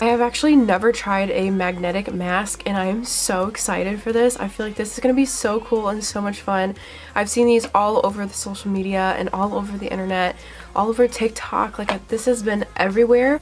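A young woman talks through a skincare test with a stuffy, congested voice from a cold. She chats eagerly and loosely, filling her talk with likes and ums. She sounds close to the camera in a small room. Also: mid-range voice. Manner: chatty, filler-heavy, eager. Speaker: beauty vlogger. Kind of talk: casual product review.

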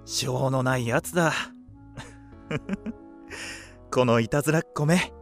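A man playing a gentle, bookish window cleaner in an audio drama, speaking tenderly and fondly. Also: mid-range voice, slow pace, gravelly, soft background music. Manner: tender, fond.

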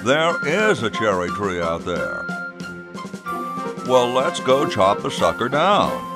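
An actor playing a pompous, boastful colonial gentleman in a cartoon game. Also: mid-range voice, sing-song, slow pace. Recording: music behind the voice.

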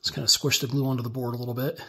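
A man walking through a hands-on build step by step in a relaxed, casual tutorial, filmed on a phone over a workbench indoors. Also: medium pitch, racing. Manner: relaxed step-by-step explaining while working. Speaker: DIY tutorial maker.

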